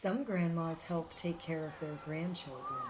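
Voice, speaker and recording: Lowish-voiced woman reading a children's picture book. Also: a little slow, heavy background noise. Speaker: storytime host reading aloud. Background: music.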